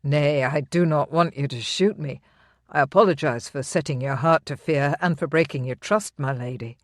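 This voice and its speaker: A narrator voicing a young English knight who speaks in a steady, measured, reassuring way. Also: medium pitch, no room sound, studio quality, pitched below the performer's own voice, lightly acted. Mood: earnest. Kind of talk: audiobook character reading.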